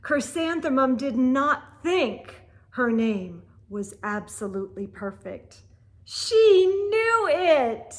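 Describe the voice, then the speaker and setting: A woman hosts storytime in a high, very animated voice. She narrates slowly and dramatically, shaping each phrase deliberately for young listeners. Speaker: storytime host and reader. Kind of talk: expressive children's read-aloud narration.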